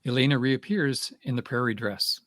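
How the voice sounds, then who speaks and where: A man's voice: medium pitch, normal speed, fairly bright tone, no room sound. A man giving scripted narration as the reader of stage directions.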